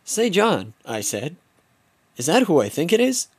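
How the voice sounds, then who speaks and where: A man's voice: on the high side, huge pitch swings, unhurried, breathy edge, no room sound. A young man narrating a home-made comedy film in the first person, with playful, mock-dramatic storybook delivery.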